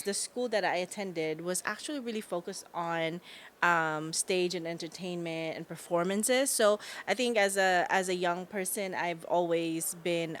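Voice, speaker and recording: Bubbly young woman from the Philippines, guest on a casual talk show, mid-pitched, upbeat and chatty. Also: a bit bright. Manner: bubbly, laughs often. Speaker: interview guest, marketing director. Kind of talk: casual interview chat.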